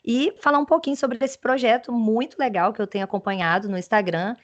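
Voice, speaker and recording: A woman's voice: fairly high pitch, brisk. A woman with a Mineiro accent hosting an interview over a video call, chatting casually with frequent tag questions and laughs. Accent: Mineiro Brazilian Portuguese. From Minas Gerais, Brazil. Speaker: interview host.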